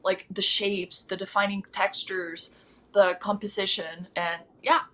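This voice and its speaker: A woman's voice: mid-range voice, flat delivery, measured pace, a bit bright. A woman in her twenties, a guest artist, talking about her work in an upbeat, enthusiastic way over a video call. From East Coast of the United States. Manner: upbeat, enthusiastic, with frequent fillers. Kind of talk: casual interview answers.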